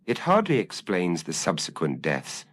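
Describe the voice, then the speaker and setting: A man voicing a formal, reasoning doctor in a mystery story, measured and deliberate. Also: low-pitched, wildly swooping pitch, a bit bright, heavily acted. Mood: reasoned.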